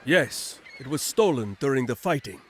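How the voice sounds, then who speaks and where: A man's voice: medium pitch, animated, normal speed, fairly bright tone, slightly rough. An actor playing an earnest male advisor urging action, in a video game cutscene. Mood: urgent.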